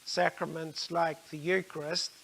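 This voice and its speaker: An older man giving an explanatory religious talk in a measured, halting way, with frequent pauses mid-sentence. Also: on the high side, little pitch movement, vocal fry, fairly bright tone, rough recording.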